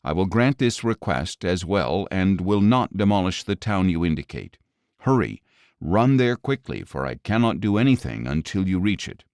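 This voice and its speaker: A man's voice: low-pitched, very animated, normal speed, a little mellow, no room sound, clean studio sound. A man voicing a firm, urgent angel who brings divine judgment, in an audio Bible story. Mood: firm, granting.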